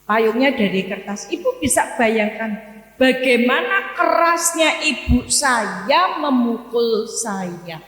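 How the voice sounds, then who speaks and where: Slow-speaking older woman preaching in echoey hall. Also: a little high, animated, a bit bright, a little hiss or hum. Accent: Javanese. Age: 60s. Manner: emphatic, deliberate, with pauses and repeated phrases. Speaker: Islamic preacher giving a morning study lecture. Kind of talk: sermon with personal storytelling. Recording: echoey hall with long reverb.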